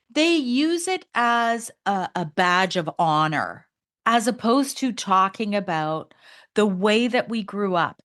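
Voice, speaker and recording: Woman in her 50s, solo podcast host, slightly low voice, earnest and chatty, pitch leaps for emphasis. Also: fairly bright tone. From Canada.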